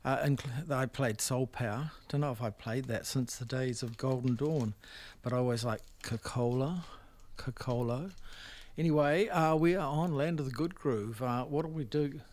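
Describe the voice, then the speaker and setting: A man's voice: medium pitch, normal speed, a little mellow, dry recording. A man hosting a music radio show in a casual, rambling, hesitant way. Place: dry radio studio.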